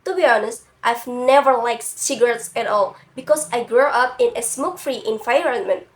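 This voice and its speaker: Young woman with a cold, high voice. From Indonesia. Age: young adult. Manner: careful, slightly halting non-native English. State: has a cold. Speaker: university student. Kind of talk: reading a prepared class presentation.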